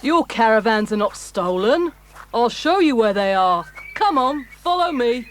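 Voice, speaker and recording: Slow-spoken, unfriendly fairground performer. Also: female voice, fairly high pitch, sing-song, slight background noise. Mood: brusque. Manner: blunt, dismissive.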